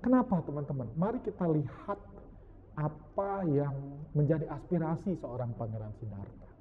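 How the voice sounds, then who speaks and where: A man giving a Buddhist dharma talk, lively, often asking his listeners questions and slipping in English phrases. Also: medium pitch. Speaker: Buddhist teacher. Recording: tinny phone-like sound, long hall reverb.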